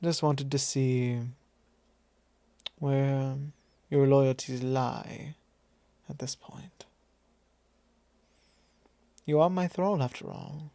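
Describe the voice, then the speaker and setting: A man playing a possessive, teasing vampire lord in an intimate ASMR roleplay, speaking softly in a measured, drawling, formal way. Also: mid-range voice, fairly even intonation, no echo. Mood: probing, quietly possessive. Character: possessive male vampire lord, teasing, calmly probing his thrall.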